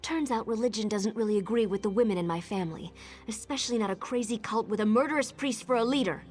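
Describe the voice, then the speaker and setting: A woman's voice: fairly high pitch, fast talker, darkish tone, soft background music, close to an everyday voice. A woman playing a bitter, jaded younger sister who left a cult, guarded and sarcastic, in video game dialogue. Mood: wary, sardonic.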